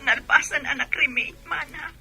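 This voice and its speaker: Earnest Filipino daughter, high, piercing, breathy. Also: female voice, moderate pace, sharp tone, soft background music, full character voice. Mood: subdued. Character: dutiful, emotional grown daughter of wealthy family. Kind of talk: radio drama acting.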